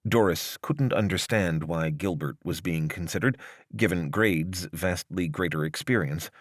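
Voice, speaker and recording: A man with a low voice narrates a piece of written journalism. His delivery is scripted, measured and articulate, with a formal tone. The sound is dry, with very little room around the voice. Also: dry recording. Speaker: narrator reading a long-form article.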